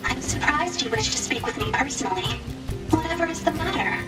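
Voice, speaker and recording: A courteous, gentle robot village leader in a video game. The high voice is formal and polite, echoing and set back, under very loud music. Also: female voice, lively intonation, brisk, far from the mic. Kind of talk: English video game voice acting.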